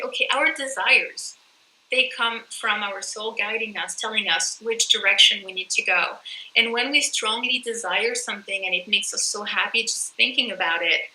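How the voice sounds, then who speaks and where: Woman coach, bright high voice, earnest, roomy echo. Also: slight background noise. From France. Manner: earnest and emphatic. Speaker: guest coach and author. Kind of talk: conversational interview.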